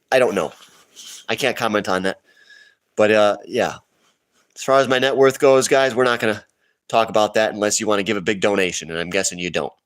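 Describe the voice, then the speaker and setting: A man hosting a casual livestream, reading chat questions and answering them in a loose, rambling way while sniffling. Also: mid-range voice.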